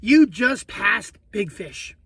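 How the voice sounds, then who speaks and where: A man's voice: sits high, expressive, slow pace, creaky, fairly bright tone, breathy edge, full character voice. A man voicing a bossy, unfair female swimming teacher in a children's storybook, brash and blunt. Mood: smugly blunt.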